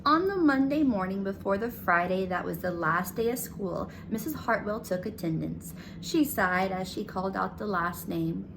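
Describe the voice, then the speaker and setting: A woman's voice: mid-range voice, lively intonation, normal speed, creaky, hardly any pauses, noisy background. A woman reading a children's picture book aloud as a storyteller, narrating the scenes. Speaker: storybook reader.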